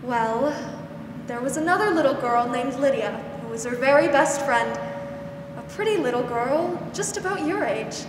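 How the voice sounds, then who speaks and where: A young woman acting a gentle, weary, protective older aunt in a 1940s-style radio drama, soothing and hesitant. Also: high-pitched, expressive, moderate pace. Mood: hesitant, sorrowful. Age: young adult. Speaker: student actor. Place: school theatre stage. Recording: echoey hall, noisy background.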